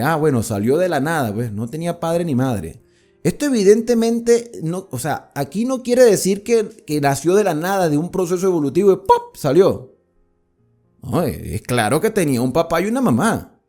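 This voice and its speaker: A man's voice: fairly high pitch, warm tone. A man from Venezuela with a Venezuelan accent from near the Colombian border, teaching a Bible study in a conversational way, asking and answering his own questions and repeating points for emphasis. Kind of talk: explanatory Bible study talk.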